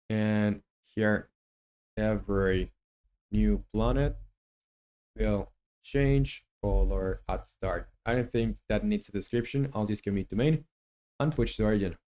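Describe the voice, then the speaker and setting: A man's voice: fairly low pitch, slow, pauses often, dark tone. A man who develops a game on a live stream, muses aloud while he codes and chats with viewers. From Chile.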